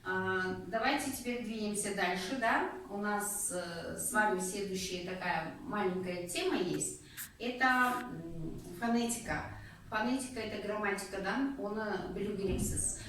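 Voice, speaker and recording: A woman teaching a Russian lesson one-on-one, guiding patiently with slow, clear prompts. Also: medium pitch, a bit bright. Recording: echoey room, distant mic, faint noise.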